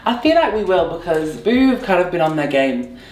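Hoarse, bubbly woman vlogging. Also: lowish voice, very animated, a little fast, darkish tone. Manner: chatty, bubbly, playful asides. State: hoarse from a sore throat. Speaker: fashion vlogger. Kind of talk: casual try-on haul chat. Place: bright indoor room at home. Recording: echoey room.

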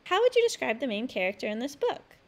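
A woman hosting a read-aloud for children, narrating picture books in an expressive, emphatic way. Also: high voice, fast. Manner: animated, emphatic delivery aimed at young children.

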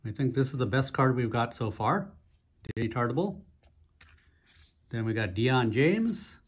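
Male vlog host, slightly husky, easygoing and unhurried, narrating a pack opening. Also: medium pitch. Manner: relaxed, unhurried, frequent "uh" fillers. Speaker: host of a card-opening channel. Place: indoors at a table, hands only on camera.

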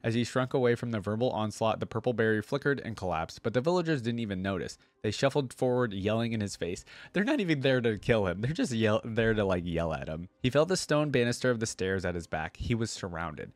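A man's voice: lowish voice, very animated, a little fast, few pauses, no echo. A young man reading a story aloud and adding casual commentary, close to a desk microphone. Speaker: reaction host. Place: indoor room at a desk. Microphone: close desk microphone on a boom arm.

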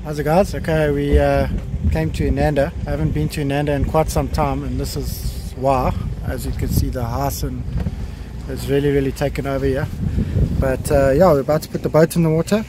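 A man's voice: mid-range voice, brisk, noisy background. A South African man in his thirties, chatting casually as he films a fishing trip from a boat on a lake. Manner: relaxed, chatty, laughs easily. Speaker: fishing vlogger. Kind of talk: casual fishing vlog chat. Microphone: action camera on the boat.

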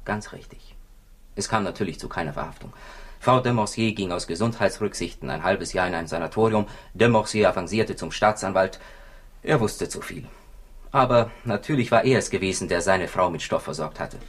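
An actor playing a weary, gossipy police commissioner in a 1960s radio crime drama, husky and confiding. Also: male voice, low-pitched, fairly quick. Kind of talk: 1960s German-language radio drama acting.

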